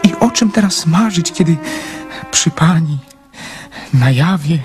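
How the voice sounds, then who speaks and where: An actor playing a lonely, tender young dreamer in love in a radio drama, earnest, emotional and romantic. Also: male voice, on the high side, animated, unhurried, over music. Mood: anguished longing.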